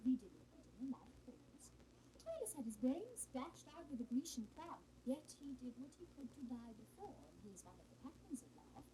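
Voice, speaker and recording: A woman's voice: high-pitched, big pitch swings, brisk. An actress playing a witty, teasing young woman in love in a classical 1960s audio drama, theatrical and playful with a British accent. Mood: wry, coaxing. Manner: theatrical, playful, emphatic, swooping delivery. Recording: 1962 studio recording with faint noise.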